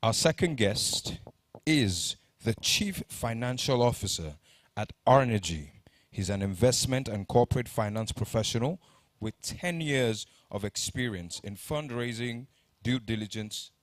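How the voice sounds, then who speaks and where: A man's voice: medium pitch, lively intonation, unhurried, dry recording. A man, introducing conference speakers from the stage, reading their bios and stumbling now and then on names. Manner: reads bios, stumbles on names, jokes lightly. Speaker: conference emcee.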